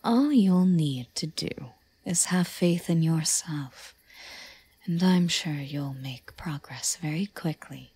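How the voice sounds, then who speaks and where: A woman playing a regal, possessive yandere elven queen in an audio drama, breathy and often whispery, with a solemn, intimate, measured delivery. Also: deep voice, mellow, full character voice. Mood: possessive, tender. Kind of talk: slow roleplay audio drama.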